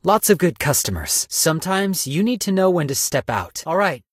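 A calculating salaryman from a video game, a cynical stock broker with a husky voice. His delivery moves around in pitch while staying wry, polite and businesslike. The sound is dry and close. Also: big pitch swings, normal speed, no room sound. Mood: calm, wry. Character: smooth-talking, money-minded, cynical male stock broker. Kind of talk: video game character voice lines.

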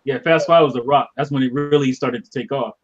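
A man's voice: mid-range voice, fairly even intonation, a little fast. A young American man chatting and joking casually as a podcast co-host, over a dull online call. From United States. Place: small game room at home, indoors.

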